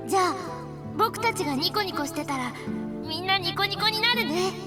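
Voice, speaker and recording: A very high, soft child's voice, sweet, innocent and earnest, in the style of a Japanese visual novel. Music plays prominently behind the dialogue. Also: lively intonation, racing. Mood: cheerful, hopeful.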